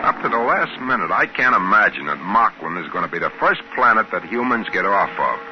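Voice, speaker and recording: A man's voice: low-pitched, very animated, a little fast. An actor playing a folksy, easygoing trading-post man in a 1950s radio drama, speaking colloquially and ungrammatically. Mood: wry, storytelling. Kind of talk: 1950s radio drama acting, first-person narration and dialogue. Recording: dull, hissy 1950s radio recording.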